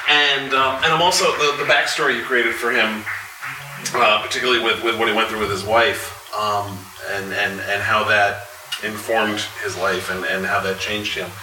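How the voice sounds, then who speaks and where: A man chatting casually on a live panel, jokey, halting and repeating his words. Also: lowish voice, fairly even intonation. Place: live convention panel by a restaurant window. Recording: distant echoey mic, noisy ambience.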